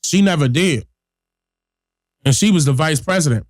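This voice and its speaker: Podcast guy with a stuffed-up nose from a cold, kinda high voice, blunt and straight up, dry close mic. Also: lively intonation, normal speed, no echo. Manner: blunt and emphatic, talks straight to viewers. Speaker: podcast host. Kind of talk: casual political commentary.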